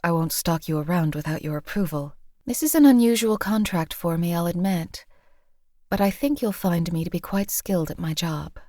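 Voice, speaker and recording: Woman playing a cool, low-voiced female assassin. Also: moderate pace, dark tone, dry recording, close to an everyday voice. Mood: businesslike. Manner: composed, dry, matter-of-fact. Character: cool, lethal female assassin companion for hire. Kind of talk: video game companion voice lines.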